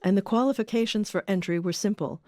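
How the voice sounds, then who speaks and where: A woman's voice: medium pitch, quick pace, darkish tone. A woman reading scripted documentary narration as the host of an audio series. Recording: music under the voice.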